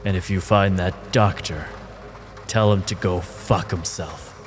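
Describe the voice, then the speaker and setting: A man's voice: low voice, fairly even intonation, unhurried, slight background noise. A man voicing a bitter dying spirit, speaking in a brooding, profane way. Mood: wry, weary.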